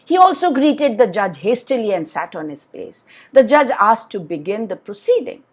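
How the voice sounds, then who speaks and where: A woman hosts a children's story session. Her delivery is highly animated, with pitch that swings widely, and she addresses her young listeners directly in an expressive bedtime-story style. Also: moderate pace, breathy edge. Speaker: children's storyteller and channel host.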